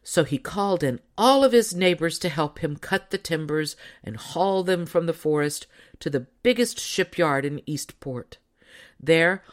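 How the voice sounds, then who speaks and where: A woman narrating a storybook as a scripted audiobook reading. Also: sits low.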